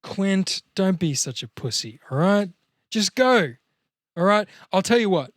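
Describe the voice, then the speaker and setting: A cocky young slacker, acted by a man pushes and coaxes his pal in a comedy table read. The voice is raised in pitch, emphatic and crude. The sound is very dry. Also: lively intonation, a little slow, no room sound. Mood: coaxing. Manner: emphatic, sweary, coaxing.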